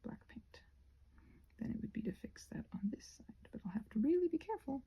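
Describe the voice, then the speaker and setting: A woman chatting casually in a soft-spoken, digressive, self-deprecating ramble as she works on a craft. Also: on the high side, very animated, a little fast, gravelly, slightly rough. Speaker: craft vlogger. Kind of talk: casual rambling chat while painting.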